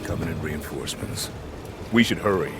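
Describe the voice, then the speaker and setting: Military squad leader, video game, authoritative, clipped orders, lively intonation, loud score behind. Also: male voice, very deep voice, moderate pace. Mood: calm command. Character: steady, commanding male soldier leading a squad. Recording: loud game music and gunfire.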